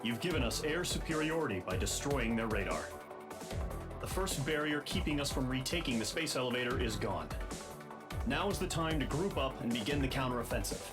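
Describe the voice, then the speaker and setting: A male actor plays a game's military commander. He narrates a mission briefing formally and with measured resolve, in a medium-pitched voice. Music plays clearly underneath. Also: expressive, close to an everyday voice. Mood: resolute. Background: background music.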